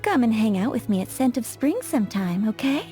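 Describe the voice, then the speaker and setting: Actress as a flirtatious perfumer, high mellow voice. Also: female voice, expressive, a little fast, faint music behind, lightly acted. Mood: poised, instructive. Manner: coquettish, instructive, poised. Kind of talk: English video game voice acting.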